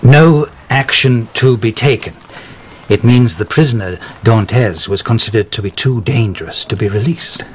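A man's voice: medium pitch, a little slow, natural voice. A fawning, stammering clerk eager to please a lord, played by an actor in a radio drama. His voice sits in an ordinary middle range, with faint noise behind him. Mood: nervous, obliging. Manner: hesitant and stammering.

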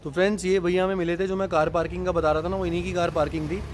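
A man's voice: high-pitched, level tone, very fast, slight background noise. A young man explaining things casually and repetitively to camera as a travel vlogger. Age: young adult. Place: outdoors by roads and parking, later in a parked jeep. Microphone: phone at arm's length.

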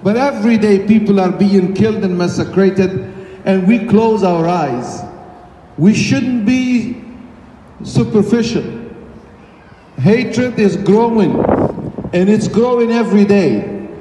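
A man's voice: high voice, expressive, slow, long pauses. A middle-aged man, an imam, giving an urgent, preacherly speech at a vigil, speaking into a handheld mic in a crowded outdoor city square. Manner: urgent, preacherly, rises to shouts. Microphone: handheld mic through a PA, recorded from a phone in the crowd. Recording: echoey PA sound from a distance, crowd murmur.

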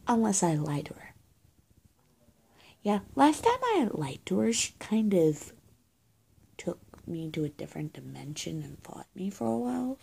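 A young adult woman doing a soft-spoken, improvised roleplay as a friendly good witch of high-school age, talking casually and haltingly to the viewer and often dropping to a near-whisper. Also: creaky, slight background noise. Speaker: roleplay video creator. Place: small indoor room, plain walls. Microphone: phone or webcam at arm's length.

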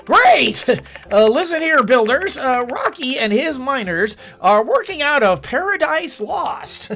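A man's voice: extremely high pitch, fairly bright tone, pitched above the performer's own voice, exaggerated voice. A man voicing an upbeat, bossy male guild leader in a game.

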